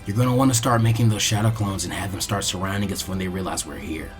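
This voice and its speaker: A man voicing a lazy, cautious teenage boy ninja who mutters in a low-key, exasperated way in a fan fiction audiobook. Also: fast, reverberant, long reverb tail, faint music behind, lightly acted.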